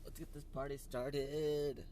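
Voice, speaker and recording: Stuffy-nosed male vlogger, rambling casual car chat, clear road noise behind. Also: fairly high pitch, flat delivery, takes it slowly. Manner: chatty, rambling, with fillers and asides. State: congested, nasal, sore throat. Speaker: host of a gaming commute vlog. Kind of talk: casual gaming news recap while driving. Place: in a car on the way to work. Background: car and traffic noise.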